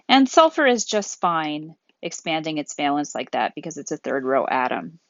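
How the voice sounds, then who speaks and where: A woman with a lowish voice lectures at a very unhurried pace. She explains things methodically, one step at a time, as if working at a board. The sound is dry and slightly dull. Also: no room sound. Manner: methodical, deliberate, step-by-step phrasing. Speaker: chemistry lecturer. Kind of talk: explanatory lecture, thinking aloud while drawing.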